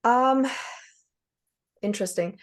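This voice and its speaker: A woman's voice: a little high, slow. A woman from Germany with a German accent, talking as a podcast guest, hesitant with many fillers and small laughs. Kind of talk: casual conversation.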